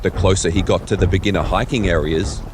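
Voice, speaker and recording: A man's voice: low-pitched, fairly even intonation, quick pace, background noise. A man reading scripted third-person story narration for an audio drama.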